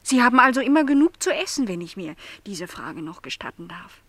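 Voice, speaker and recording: An actress playing a shy but curious young housemaid in a radio play, speaking hesitantly and very politely. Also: female voice, mid-range voice, sing-song, fairly quick, a bit bright. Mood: curious, tentative. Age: young adult.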